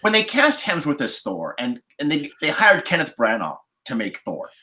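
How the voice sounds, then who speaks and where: A man in a casual roundtable discussion, laying out his points as a podcast panelist in deliberate, repeated phrases. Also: on the high side, huge pitch swings, pushed and bright. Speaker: podcast panelist reviewing films.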